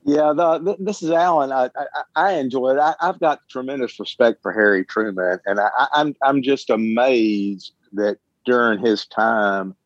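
A man talking casually in a group discussion, halting and often repeating his words as he goes. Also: medium pitch, no room sound, muffled recording. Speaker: book club member.

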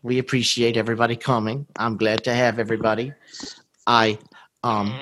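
A man's voice: medium pitch, fairly bright tone, a little hiss or hum, rough recording. A man moderating a book group in casual conversation, talking in a rambling, reassuring way.

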